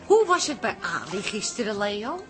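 An actress playing an excitable, chatty busybody wife in a Dutch radio comedy, eagerly exclaiming. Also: female voice, mid-range voice, normal speed, over loud music, natural voice. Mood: curious, concerned. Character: Toos, excitable busybody wife, warm working-class chatterer.

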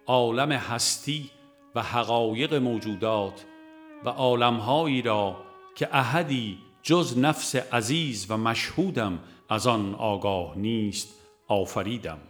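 A man narrating a religious audiobook, reciting scripture and verse in a measured, formal way with pauses between phrases. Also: mid-range voice, fairly bright tone, faint music behind.

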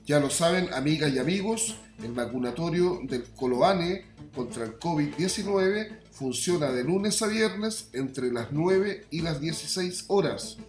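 A Chilean man presenting a scripted radio news bulletin with measured, deliberate enunciation. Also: a little high, animated. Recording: roomy echo, music behind. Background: faint music.

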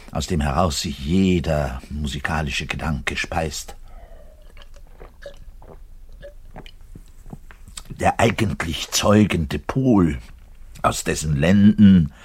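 An actor playing a garrulous, self-important double bass player in his thirties, delivering a digressive radio play monologue straight to the listener with halting self-corrections. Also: breathy edge, full character voice. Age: 30s. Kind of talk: conversational theatrical monologue addressed to the listener.

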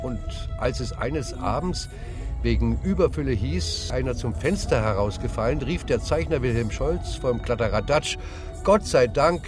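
An actor playing a calm, well-read male bookseller in a radio drama, telling things in an unhurried guide-like way. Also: mid-range voice, over music, natural voice. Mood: calm, informative.

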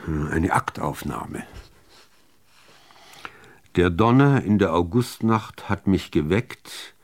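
A man reciting literary poetry in deliberate, measured phrases with pauses between them. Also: low-pitched, darkish tone. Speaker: reader of poetry.

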